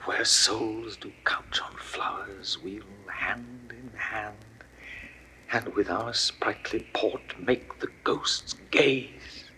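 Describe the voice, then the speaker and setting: An actor playing a despairing middle-aged Roman general in a 1960s verse drama, speaking gravely and slowly, often in hushed whispers, rising to anguished cries. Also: male voice, fairly low pitch, fairly even intonation, slight background noise, heavily acted, poor audio. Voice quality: husky, lapsing into hushed whispers. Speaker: stage actor.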